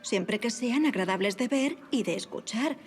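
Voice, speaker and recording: High-voiced, gracious aristocratic lady played by an actress. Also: lively intonation, normal speed, faint music behind, natural voice. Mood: politely firm. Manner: polite, poised, formal period speech. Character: gracious, witty young aristocratic lady, affectionate and self-assured. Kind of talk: audio drama acting.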